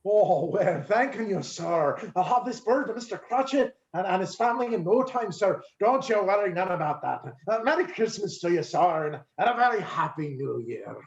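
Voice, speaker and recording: Man playing echoey, high-voiced humble Victorian townsman. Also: lively intonation, moderate pace, few pauses. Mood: obliging, grateful. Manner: deferential, earnest. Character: humble, obliging Victorian tradesman or gentleman, gratefully polite. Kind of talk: theatrical radio play reading. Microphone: video call. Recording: dull, echoey video call audio.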